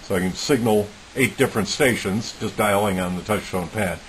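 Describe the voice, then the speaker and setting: A man's voice: fairly low pitch, moderate pace. A man giving an informal, methodical technical demonstration as a hobbyist, in a plain-spoken, matter-of-fact way. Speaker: electronics hobbyist presenting a homemade project. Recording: clearly noisy recording with hiss.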